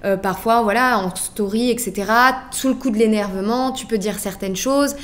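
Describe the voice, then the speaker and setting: A woman from France, a podcast guest speaking in a candid, lively way and quick to laugh in casual conversation. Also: mid-range voice.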